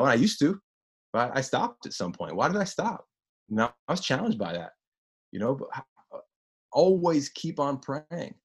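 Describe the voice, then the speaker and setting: A man with a deep voice preaching a sermon as pastor, animated and repeating phrases for emphasis. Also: husky.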